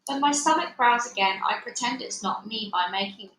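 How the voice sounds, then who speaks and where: Female children's book reader, bright and animated delivery, echoey room with faint noise. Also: on the high side, moderate pace. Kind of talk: animated read-aloud narration.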